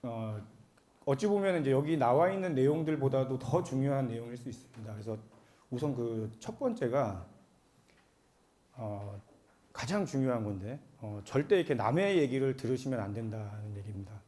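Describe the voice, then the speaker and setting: A man with a cold giving a formal explanatory seminar presentation as an accountant, speaking politely and a bit haltingly. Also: medium pitch, lively intonation, warm tone. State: has a cold.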